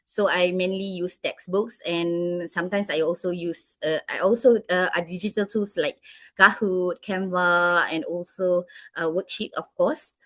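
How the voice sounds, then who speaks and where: Malaysian woman teacher, halting answers. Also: fairly low pitch, fairly even intonation, no room sound. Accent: Malaysian English. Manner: hesitant, many fillers and restarts. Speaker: English teacher interviewed by students. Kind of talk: answering interview questions.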